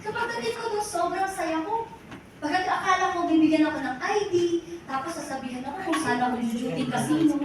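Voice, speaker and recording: A Filipino woman in her forties with a high voice delivers a personal testimonial to a crowd, moving between English and Tagalog. She keeps calling out to the ladies and gentlemen and asking rhetorical questions. She sounds far from the mic in a very echoey hall with noise behind her. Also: fast. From Philippines. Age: 40s. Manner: addresses the audience directly again and again. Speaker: motivational speaker at a company event. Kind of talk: testimonial speech to an audience. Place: large echoey event hall.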